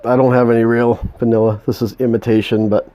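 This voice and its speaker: A man's voice: medium pitch, flat delivery, dark tone. A man with a New England accent giving a casual home kitchen demonstration, mumbling and restarting often. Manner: mumbling, halting, many restarts. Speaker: home cook demonstrating a recipe.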